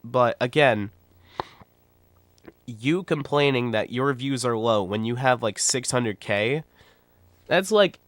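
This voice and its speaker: Slow-talking young man with a stuffy nose. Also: mid-range voice, expressive, a bit bright, dry recording. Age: 20s. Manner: blunt, rambling, frank. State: nasal from a cold. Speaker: YouTuber and streamer. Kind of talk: casual livestream commentary.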